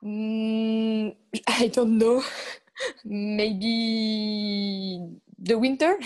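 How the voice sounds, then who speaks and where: A woman with a French accent, not a native English speaker, answering interview questions in halting English with many pauses and fillers. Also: on the high side, steady tone, darkish tone. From France. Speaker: guest, motorcycle racer. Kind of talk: casual interview chat.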